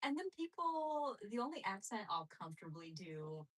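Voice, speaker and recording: A woman's voice: mid-range voice, big pitch swings, moderate pace, a bit bright, recorded from a distance, rough recording. A woman who grew up speaking Russian, chatting candidly on a podcast as a comedian host, swearing and laughing often. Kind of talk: casual podcast chat.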